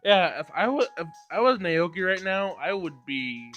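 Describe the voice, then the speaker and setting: A man's voice: high voice, very animated, normal speed, fairly bright tone, muffled recording. A man reading visual novel narration aloud as he plays, stumbling over words now and then. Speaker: gameplay streamer reading aloud. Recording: game music behind. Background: game music.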